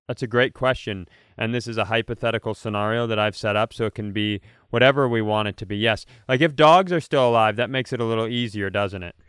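A man's voice: lowish voice, fast talker, hardly any pauses, clear, resonant tone, no room sound. Clean-voiced man riffing casually, very dry sound. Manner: animated storyteller, acts out imagined quotes, swears casually. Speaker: comedy podcast host. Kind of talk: casual comedic chat, improvised hypothetical riffs.

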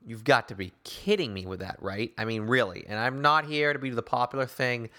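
A man hosting a sports talk show, giving opinion in an emphatic, wordy, deliberate way. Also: mid-range voice, sharp tone. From Newton, Massachusetts, United States. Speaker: sports podcast host.

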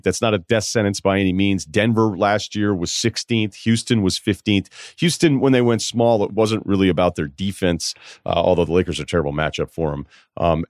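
Male sports host, lower register, conversational commentary, dry recording. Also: fairly quick, dry recording.

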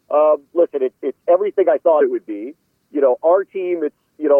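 A man's voice: on the high side, no echo. A man speaking as a guest sports announcer in a casual radio interview, over a thin phone-like line.

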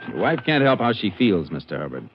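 Low-voiced, probing Texas Ranger. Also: male voice, big pitch swings, fairly quick, a little hiss or hum. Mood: pressing, suspicious. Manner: terse, matter-of-fact questioning. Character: steady, probing Texas Ranger lawman, plainspoken. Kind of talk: 1950s radio western acting. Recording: muffled 1950s radio recording.

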